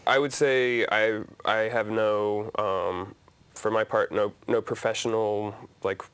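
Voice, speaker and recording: A man's voice: on the low side, fairly even intonation, takes it slowly, fairly bright tone, clear, resonant tone. A man from a media collective talking casually in an interview, with frequent fillers and restarts. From grew up in Asia, Nepal. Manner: hesitant, many fillers and restarts.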